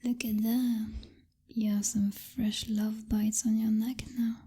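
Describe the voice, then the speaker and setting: A woman acting as a possessive vampire girlfriend in an intimate ASMR roleplay. Her whisper is very breathy and very soft-toned, unhurried as she teases, dominates and coddles with condescending pet names. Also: a little high, flat delivery, exaggerated voice. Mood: coddling, smug. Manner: slow, purring, teasing, condescending pet names.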